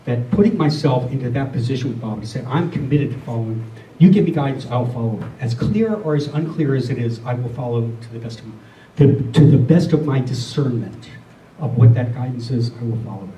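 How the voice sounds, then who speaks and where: A middle-aged or older man giving a reflective spiritual talk to a group, speaking earnestly and deliberately, often repeating phrases. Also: medium pitch, animated, mellow. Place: room with a listening group. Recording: roomy, distant mic, faint noise.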